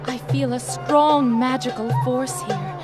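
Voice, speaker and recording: High-voiced, earnest young genie girl. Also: fairly even intonation, slow pace. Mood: solemn. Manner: formal, archaic, reverent phrasing. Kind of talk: video game cutscene acting. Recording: music under the voice.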